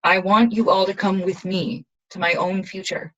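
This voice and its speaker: A woman's voice: medium pitch, fairly even intonation, moderate pace, fairly bright tone, dull audio, natural voice. A woman playing a resolute young rebel assassin from a dystopian future in an audio drama, speaking in an earnest, intense way. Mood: urgent.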